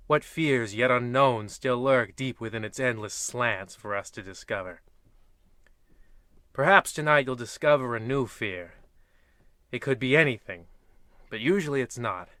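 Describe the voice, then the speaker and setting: Man playing a bright-voiced, ominous radio horror host. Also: medium pitch, dry recording, studio quality. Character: hammy, eerie male horror anthology narrator, faintly dim. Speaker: narrator and host. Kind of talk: parody of old-time radio horror hosting.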